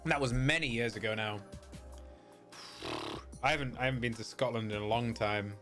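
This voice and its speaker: A man's voice: medium pitch, expressive, normal speed, creaky. A middle-aged man from the United Kingdom chatting casually as a game streamer, rambling and often searching for his words. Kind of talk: casual livestream chat. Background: music behind the voice.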